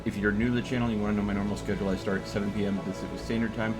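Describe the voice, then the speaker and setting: A man's voice: on the low side, fairly even intonation, racing. A man chatting casually and explaining things as he hosts a live game stream. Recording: loud music behind the voice. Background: loud game music.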